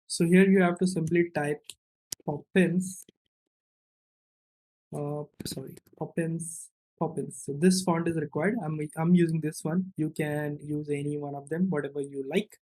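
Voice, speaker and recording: A man's voice: on the high side, level tone, measured pace, warm tone, rough recording. A man giving an unscripted, step-by-step programming tutorial, thinking aloud as he works and often checking in with a short questioning tag. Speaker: coding tutorial instructor.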